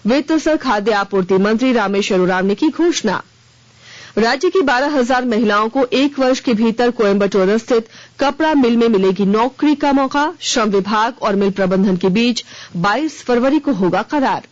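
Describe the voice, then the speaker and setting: A woman reading a scripted radio news bulletin in a formal, measured announcer delivery. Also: on the high side. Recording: dull, band-limited radio broadcast.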